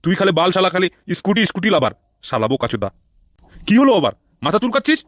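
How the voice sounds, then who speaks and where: A man's voice: sits high, wildly swooping pitch, fast talker, fairly bright tone, no echo, studio quality, exaggerated voice. A man voicing a scheming, coarse, blustering gangster in a comedy cartoon. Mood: irritated, scolding. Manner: blustering, coarse, swearing constantly. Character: scheming, foul-mouthed male gangster and political rival in comedy cartoon. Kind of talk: Bengali comedy cartoon dubbing.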